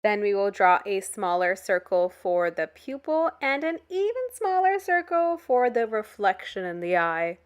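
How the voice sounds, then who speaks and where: A woman leading an art activity as a step-by-step tutorial, in a clear, instructional manner. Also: medium pitch, sing-song, a little slow, few pauses.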